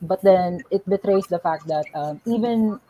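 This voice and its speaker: A young woman with a husky, low-pitched voice talks on a discussion panel. She lays out her argument in a measured, explanatory way, correcting herself mid-phrase at times. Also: warm tone, rough recording. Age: young adult. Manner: measured, explanatory, with small restarts. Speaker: panelist, graduate student.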